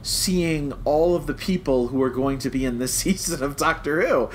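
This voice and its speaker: An American man co-hosting a podcast in casual, animated fan chat that slips into mock quotes. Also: fairly high pitch, normal speed, slight background noise. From United States. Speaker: podcast co-host.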